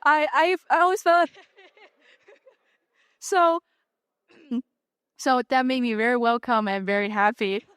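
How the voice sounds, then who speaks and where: A woman's voice: a little high, fairly even intonation, slow, pauses often, a bit bright, clear, resonant tone, dry recording, studio quality. A teenage girl with a Chinese accent, an international student giving earnest answers with some fillers. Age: teens.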